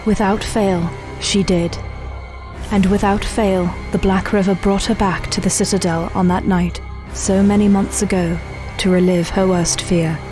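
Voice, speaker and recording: A woman's voice: medium pitch, little pitch movement, unhurried. A woman giving dramatic scripted narration for an audio drama. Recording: faint music behind.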